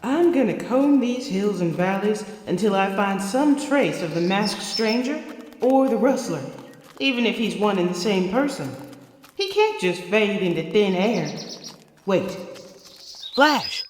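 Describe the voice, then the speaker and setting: Female Wild West sheriff, played by an actress: mid-pitched, earnest, fair-minded, firm. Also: big pitch swings, unhurried. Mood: determined, suspicious. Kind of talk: comic-book Western radio drama.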